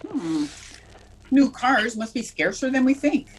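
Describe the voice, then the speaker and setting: A woman plays a dry-witted schoolteacher in a radio comedy. Her voice is high and swoops freely as she delivers sardonic wisecracks. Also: lively intonation, measured pace, a little hiss or hum. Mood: deadpan sarcasm. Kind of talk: old-time radio comedy acting.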